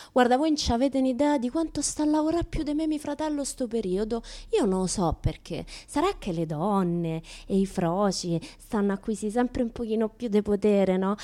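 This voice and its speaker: A woman's voice: on the low side, a little mellow, natural voice. An actress playing a brash, unashamed, chatty woman with a Roman accent in a comic radio monologue, talking in a colloquial, teasing way. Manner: colloquial, teasing, mimics other people in dialogue. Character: brash, chatty naked woman who turns up everywhere, unashamed.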